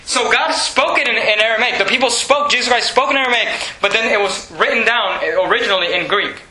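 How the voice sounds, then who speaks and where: A man from Venezuela, whose first language is Spanish, preaches with a high, very bright voice. He drives his points with repeated rhetorical questions and restarts his sentences often. The sound is roomy and echoey, with a faint hiss underneath. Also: brisk. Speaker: pastor. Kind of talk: sermon. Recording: echoey room with faint noise.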